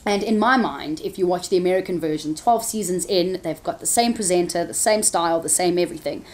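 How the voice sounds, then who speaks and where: A woman's voice: fairly low pitch, brisk, darkish tone. A woman, a TV presenter answering interview questions frankly, with laughing asides. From South Africa.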